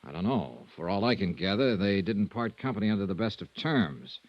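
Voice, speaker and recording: Old radio noir detective, lowish voice, lively pitch swings, dry and clipped, investigator type. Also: male voice, a little fast, no echo, lo-fi sound. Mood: probing. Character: dry, persistent male insurance investigator narrating his case. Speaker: detective lead and narrator. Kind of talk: old-time radio noir acting and narration. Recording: vintage radio drama recording.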